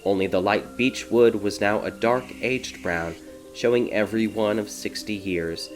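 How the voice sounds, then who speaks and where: Male audiobook narrator, fairly low voice, scripted dark fairy tale storytelling, prominent music behind. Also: measured pace.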